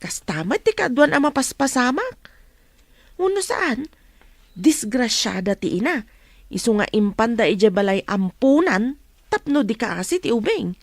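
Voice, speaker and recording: An actress playing a deferential, caring housemaid in a radio drama. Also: female voice, on the high side, sing-song, measured pace, dry recording, full character voice. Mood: explaining. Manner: polite, deferential.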